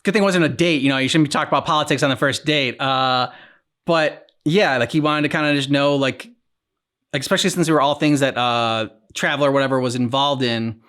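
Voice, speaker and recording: A man with a mid-pitched voice co-hosts a casual game reaction show. He speaks haltingly, working out his thoughts aloud in a conversational way. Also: fairly bright tone. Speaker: co-host of a game reaction channel. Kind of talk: casual discussion and commentary.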